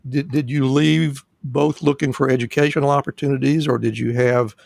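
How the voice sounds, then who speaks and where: Host: man, gravelly mid voice, dry close mic, halting. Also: mid-range voice, steady tone, a little mellow, dry recording. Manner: halting, many fillers and restarts. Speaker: podcast host. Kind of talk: podcast interview.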